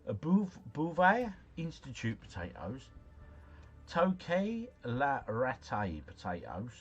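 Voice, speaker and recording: A man's voice: mid-range voice, wildly swooping pitch, slow pace, lo-fi sound. Chatty man hosting a quiz stream. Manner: chatty, jokey, reads chat messages aloud, mumbles foreign names. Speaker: livestream host. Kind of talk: casual livestream chat playing a quiz game.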